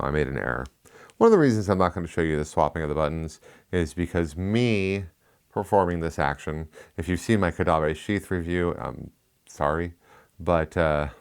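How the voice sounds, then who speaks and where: Man, low pitch, lisp, casual tech review, chatty, rambling asides. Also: creaky. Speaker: tech reviewer, channel host.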